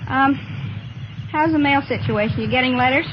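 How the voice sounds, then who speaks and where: A woman's voice: high voice, normal speed, highs cut off. A woman, a soldier's wife, chatting with her husband in cheerful, short answers. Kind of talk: casual chat over a radio link. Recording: noisy 1940s radio broadcast recording.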